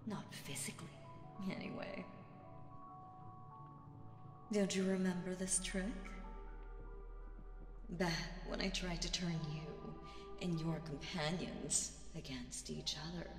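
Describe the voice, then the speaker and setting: A woman playing a seductive, imperious demon queen in an audio roleplay, teasing and condescending, with drawn-out phrasing and breathy asides. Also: on the low side, steady tone. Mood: taunting, intimate. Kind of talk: slow roleplay audio drama monologue with whispers. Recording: echoey reverberant hall with music behind.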